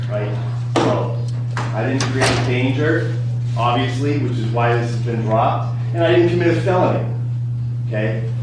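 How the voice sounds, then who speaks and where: A man arguing as a defendant in court, loud, agitated and confrontational. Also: mid-range voice. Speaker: defendant representing himself. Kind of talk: heated courtroom argument. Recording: echoey courtroom from far off, faint hum.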